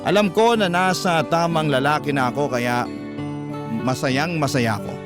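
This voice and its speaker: A man's voice: a little high, normal speed. A man with a Filipino accent reading a listener's letter as a dramatic radio storyteller. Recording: prominent guitar music under the voice.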